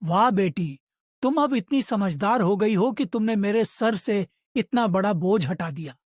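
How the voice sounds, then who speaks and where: A man's voice: high voice, no room sound, studio quality. A man playing a humble, anxious woodcutter. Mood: troubled. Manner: pleading, deferential. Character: poor, humble, anxious middle-aged village woodcutter father. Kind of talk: Hindi moral cartoon dialogue.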